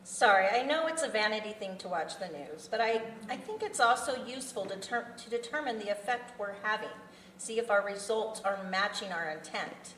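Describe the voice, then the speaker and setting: A woman's voice: medium pitch, animated, moderate pace, fairly bright tone, close to an everyday voice. Lady reading the part of older woman crime boss, chatty and businesslike, roomy far-off mic w/ faint noise. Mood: businesslike, wry. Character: older woman serial killer mastermind, bossy, chatty boss. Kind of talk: live script table read. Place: festival venue room.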